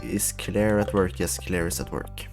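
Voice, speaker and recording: A man's voice: low voice, little pitch movement, moderate pace. A man casually chatting and bantering as he streams a video game with friends. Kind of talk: casual chat while gaming with friends. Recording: music playing behind the voice.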